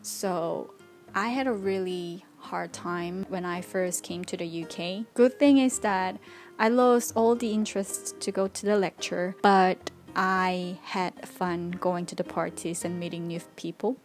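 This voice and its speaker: A woman's voice: on the low side, fairly even intonation, measured pace, soft background music. A woman in her twenties from Korea, speaking English with a Korean accent and traces of Canadian and British, talking candidly to camera in a vlog with loose non-native grammar. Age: 20s. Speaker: vlogger. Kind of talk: casual vlog talk to camera.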